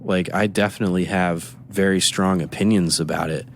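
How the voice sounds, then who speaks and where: Deep-voiced man rambling in casual chat. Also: moderate pace, slight background noise. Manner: rambling, animated storytelling with quoted exchanges. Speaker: podcast co-host.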